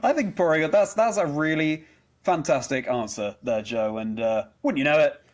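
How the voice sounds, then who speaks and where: A man's voice: on the high side, normal speed. A man hosting an interview chat, speaking in an effusive, rather formal and wordy way. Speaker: podcast host interviewer.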